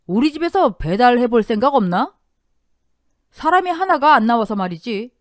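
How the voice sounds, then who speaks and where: A woman's voice: a little high, big pitch swings, moderate pace, dry recording, clean studio sound, voice pushed up. A woman voicing a stingy, strict older father-in-law who barks scolding commands in a radio-style story. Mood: gruff.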